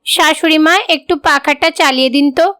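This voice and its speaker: Woman as high-pitched spoiled rich young bride. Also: normal speed, bright, forceful tone, no room sound, studio quality. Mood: entitled. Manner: haughty. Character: spoiled, lazy, haughty rich young daughter-in-law.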